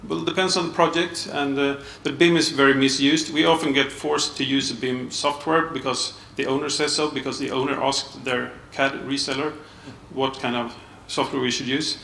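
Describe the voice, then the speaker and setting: A man giving a conference panel talk, halting with restarts and many uhs. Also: medium pitch, flat delivery, creaky, bright, forceful tone. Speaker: panel speaker, architect. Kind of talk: conference presentation. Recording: echoey hall, mic off at a distance, faint room noise.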